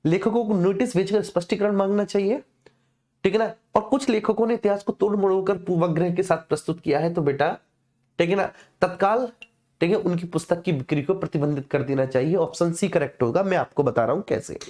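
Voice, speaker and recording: Woman, coaching teacher, slightly low pitch, soft dark tone, didactic, repetitive check-in phrases. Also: brisk. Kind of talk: informal live class explanation.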